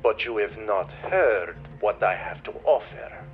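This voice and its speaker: An actor playing a menacing elderly baron who speaks slowly and formally through a video-call filter in an audio drama. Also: male voice, fairly low pitch, expressive. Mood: smooth, insistent. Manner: slow, deliberate, formal speech. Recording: dull, band-limited video-call sound, music behind.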